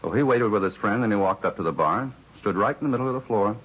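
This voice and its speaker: A man acting a weary, put-upon bar employee in a 1950s radio drama, rambling along plainly in an American accent with a husky voice. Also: on the low side, expressive, fast. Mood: shaken, earnest. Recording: muffled 1950s radio recording.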